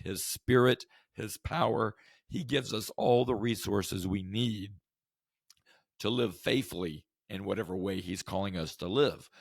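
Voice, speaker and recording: Hoarse guy doing a devotional podcast, low gravelly voice, earnest and chatty, asks the listener questions. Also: no room sound, clean studio sound. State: hoarse from the flu. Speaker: podcast host. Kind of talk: devotional teaching.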